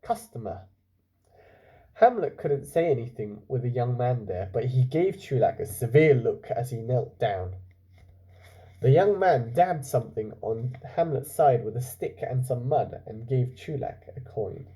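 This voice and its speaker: A man reads a children's story in a warm, relaxed tone with lots of rise and fall. He tells it expressively and steps out of the tale to put questions to his young listeners. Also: medium pitch, unhurried, low-quality recording. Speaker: teacher reading a story aloud to children.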